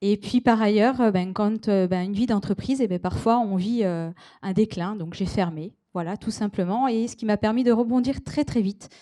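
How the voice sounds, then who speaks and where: Mellow woman entrepreneur, halting speech. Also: mid-range voice. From Paris region, France. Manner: halting, many fillers. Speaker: panelist, entrepreneur. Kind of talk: self-introduction at a panel. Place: conference stage.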